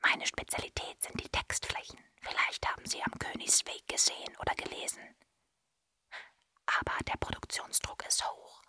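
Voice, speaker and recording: Whispering, insinuating factory scriptwriter. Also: female voice, normal speed, sharp tone. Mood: matter-of-fact, confiding. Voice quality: breathy, voiceless whisper. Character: insinuating female assembly-line script writer, unmasking the system. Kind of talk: whispered stage monologue in German.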